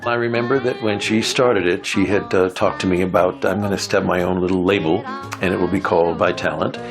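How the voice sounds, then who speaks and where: A man's voice: low-pitched, steady tone, fairly quick. A man recalls a friend's work in a matter-of-fact way during an interview. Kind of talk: interview recollection. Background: music underneath.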